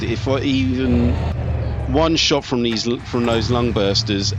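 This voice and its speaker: Low-voiced man doing game commentary. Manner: conversational, thinking aloud. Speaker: video host, gamer. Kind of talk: casual let's play commentary on a strategy game. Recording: game music behind the voice.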